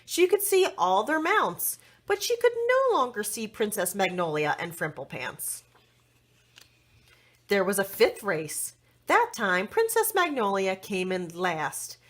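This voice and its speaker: Animated, slow-paced woman storyteller. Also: medium pitch. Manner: dramatic, deliberate phrasing for young listeners. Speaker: children's storyteller. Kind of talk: expressive read-aloud storytelling.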